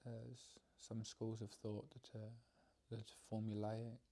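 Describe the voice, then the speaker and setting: A man giving an unscripted guided meditation talk as a teacher, hesitant and measured, with long pauses. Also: on the low side, completely flat delivery, mellow.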